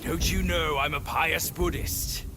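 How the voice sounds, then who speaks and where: A man's voice: on the high side, steady tone, unhurried, background noise, lightly acted. An actor playing a smug, lecherous male monk villain in a game cutscene, sneering with mocking laughs. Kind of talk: video game cutscene acting. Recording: music under the voice.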